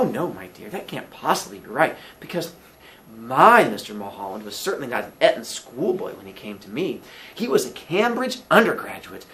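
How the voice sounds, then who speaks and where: A dotty English landlady from a storybook, acted out by a reader, fussing sweetly and coaxing in dainty, drawn-out words. The delivery swoops up and down in a roomy, echoing space with some background noise. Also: medium pitch, a bit bright.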